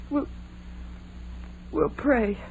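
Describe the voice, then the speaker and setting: A very high-voiced young woman, frightened and on the edge of hysteria. She speaks slowly in breathless, melodramatic pleas, in the manner of 1940s radio horror, on a noisy old recording. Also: very animated, gravelly, darkish tone, full character voice. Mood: anxious, prayerful.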